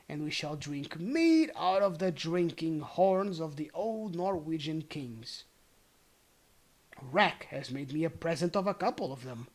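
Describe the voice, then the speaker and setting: A storybook reader voicing a jovial, boastful old male goblin patriarch. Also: medium pitch. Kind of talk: storybook character voice.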